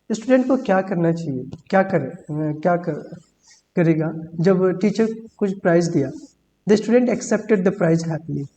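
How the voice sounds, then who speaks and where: Woman, vocabulary teacher, mellow voice, slow deliberate English examples, long reverb with faint hum. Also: medium pitch, dull audio. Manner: reads English examples slowly and deliberately, explains in Hindi; repeats words and says "ठीक है" often. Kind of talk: Hindi-English vocabulary lesson.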